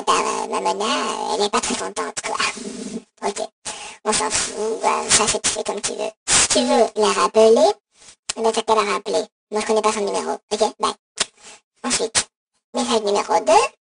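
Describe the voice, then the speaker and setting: A cheeky robotic voicemail operator, improvised by a woman for a comic skit, mock-official and crude. The voice is heavily fried and runs through a robot effect. It sits far from the mic in clear noise. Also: medium pitch. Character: cheeky, insulting female robot voicemail service. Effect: robot voice modulator. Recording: distant, noisy phone app recording.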